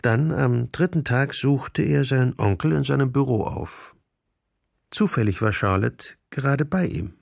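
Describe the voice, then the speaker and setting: Creaky-voiced male radio drama narrator. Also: medium pitch, a little mellow, no echo, clean studio sound. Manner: measured, storytelling.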